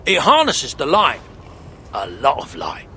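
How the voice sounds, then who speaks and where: An actor playing a gruff, folksy tinkerer in a video game, speaking in an earthy, slangy, wry way. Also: male voice, medium pitch, huge pitch swings, unhurried, sharp tone, a little hiss or hum, full character voice. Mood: matter-of-fact, wry. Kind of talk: colloquial video game character acting.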